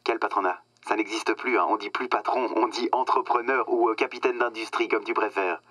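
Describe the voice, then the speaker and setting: Smug corporate boss, bright forceful voice. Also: male voice, on the low side, steady tone, no room sound. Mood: smooth, condescending. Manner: slick, condescending, mock-earnest coaching with emphatic pauses. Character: smug cynical male corporate boss peddling euphemisms. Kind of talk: satirical French radio drama acting.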